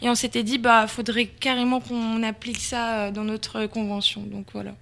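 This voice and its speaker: High-voiced woman, informal interview guest. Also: level tone, normal speed, creaky, a bit bright. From Georgia (country). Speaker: interview guest, event organizer. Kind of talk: casual chat, explaining.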